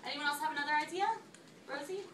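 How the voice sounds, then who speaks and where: A woman teacher reading aloud to a class in an instructive way, pausing to check understanding with questions. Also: high-pitched, fairly even intonation. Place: school classroom. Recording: echoey classroom, distant mic, faint noise.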